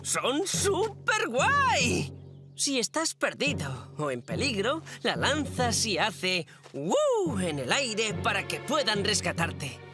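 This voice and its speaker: A woman's voice: fairly high pitch, huge pitch swings, takes it slowly, music in the background, heavily acted. A woman voicing a cheeky, mischievous young boy, eager and teasing, in a children's cartoon dub. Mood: excited, scheming. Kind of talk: dubbed children's cartoon acting.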